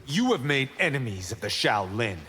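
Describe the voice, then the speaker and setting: An actor playing a cocky, brash young martial-arts monk trading boastful, teasing banter in a video game. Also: male voice, mid-range voice, big pitch swings, moderate pace, sharp tone. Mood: earnest. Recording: reverberant game audio with background noise.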